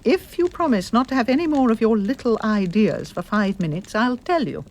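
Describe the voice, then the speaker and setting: A middle-aged English lady in a radio drama. She is brisk, bossy and inquisitive, teasing as she talks. Her pitch moves up and down in a very animated way. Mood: wry, intrigued.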